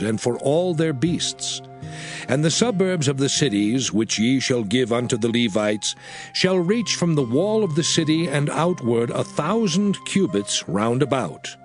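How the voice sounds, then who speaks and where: An actor playing a solemn biblical leader, proclaiming law in a measured, declamatory manner in a dramatized scripture reading. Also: male voice, mid-range voice.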